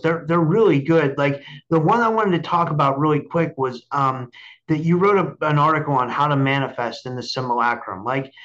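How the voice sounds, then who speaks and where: Halting man host in dull lo-fi sound. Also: fairly high pitch, a bit bright. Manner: halting, repetitive, searching for words. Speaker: podcast host interviewing a guest. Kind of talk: casual interview chat.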